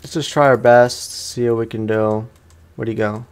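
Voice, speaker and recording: A man with a mid-pitched voice gives casual commentary as he plays a video game. He is chatty and playful, talking in loose slang as the host of a let's play. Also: fairly even intonation, moderate pace, clear, resonant tone.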